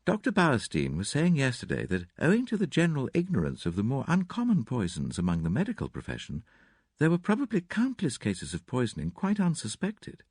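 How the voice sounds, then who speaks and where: A man reading aloud and voicing a cool, composed young married woman who speaks in a calm, measured way. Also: medium pitch, husky, no echo, studio quality, pitched above the performer's own voice, exaggerated voice.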